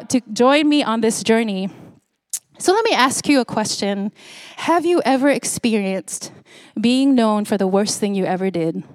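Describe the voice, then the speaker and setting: A woman's voice: fairly high pitch, clear, resonant tone, dry recording, clean studio sound. Singapore-raised woman preaching conversationally. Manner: conversational preaching with fillers and restarts. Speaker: pastor. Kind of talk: sermon.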